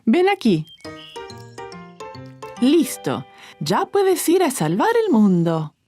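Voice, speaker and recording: Caring cartoon mom, very animated. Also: female voice, fairly high pitch, takes it slowly, soft background music, exaggerated voice. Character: caring, patient young mother. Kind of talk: dubbed children's cartoon acting.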